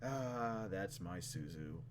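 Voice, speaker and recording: A man hosting a gaming video, reading story text aloud with casual, playful commentary and expressive reactions. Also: low voice, takes it slowly, noisy background. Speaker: gaming channel host.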